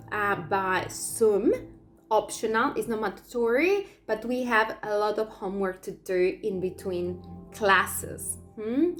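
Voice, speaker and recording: A woman whose first language is Spanish, speaking English as a teacher in an invitational video. She asks many rhetorical questions and pauses often with fillers. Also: on the high side, fairly bright tone, slight background noise. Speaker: Akashic Records teacher.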